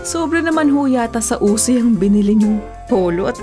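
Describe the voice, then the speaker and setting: Woman acting disapproving daughter, radio drama, higher-pitched, exasperated scolding, clear music bed. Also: animated, moderate pace, close to an everyday voice. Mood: chiding. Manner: chiding, exasperated. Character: nagging, disapproving grown daughter scolding her father.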